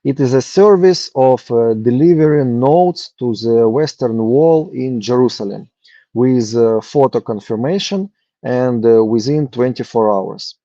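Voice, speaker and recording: A man from Ukraine pitching his startup on a video call, halting and full of fillers. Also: expressive, mellow, no room sound. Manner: halting, many uh fillers and restarts. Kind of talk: hesitant online pitch. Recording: dull lo-fi call audio.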